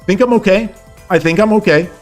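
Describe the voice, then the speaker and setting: A man streaming an online board game, casually thinking aloud in a low-key way, often repeating himself as he plays. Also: a little fast, faint music behind. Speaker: streamer playing online shogi. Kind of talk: casual live-stream commentary.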